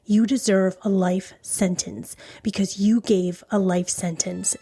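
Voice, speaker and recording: A woman's voice: mid-range voice, steady tone, slow pace, dark tone. A woman hosting a true crime podcast, reading scripted narration. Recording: faint music behind the voice. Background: faint music.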